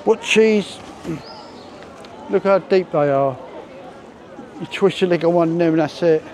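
High-voiced Cockney man strolling. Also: a little slow, a little mellow, slight background noise. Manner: chatty, thinking aloud as he strolls. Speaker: vlogger. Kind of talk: walking tour commentary. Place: outdoor resort streets. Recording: busy street crowd behind.

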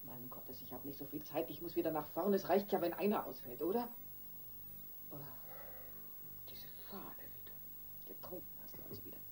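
An actress playing a hard, cool-headed, impatient wife in a radio crime drama, brisk and commanding. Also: female voice, low-pitched, animated, dark tone. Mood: impatient, reproachful.

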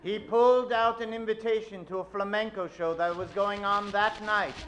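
A man's voice: sits high, fairly even intonation, normal speed, a bit bright, muffled recording. A man in his thirties narrating and announcing in a theatrical old-time radio style. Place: live theater stage. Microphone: vintage-style microphone at podium.

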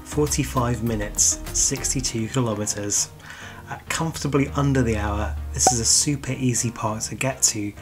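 A man from the UK, a travel vlogger, giving an upbeat, chatty scripted voice-over about theme park road trips. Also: medium pitch. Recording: music bed under the voice.

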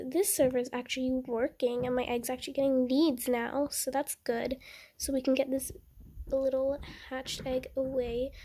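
A woman's voice: high voice, slight background noise. A young woman casually commenting on her game for her channel, chatty and rambling, with a slightly stuffy nose from a cold. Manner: chatty, rambling, easily excited. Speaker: gaming channel host.

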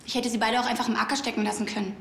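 An actress playing a pushy local reporter hunting for a story in a TV crime comedy. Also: female voice, a little high, level tone, rapid-fire, lightly acted. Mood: insistent. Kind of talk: naturalistic TV drama dialogue. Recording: echoey corridor, faint background noise.

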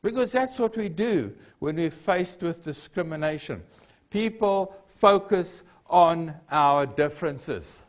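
A man's voice: on the high side, lively intonation, takes it slowly. An older man from South Africa, a native Afrikaans speaker with a South African accent, preaching a sermon in a church hall with earnest emphasis and repeated key phrases. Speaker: pastor. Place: church hall with a lectern and a cross.

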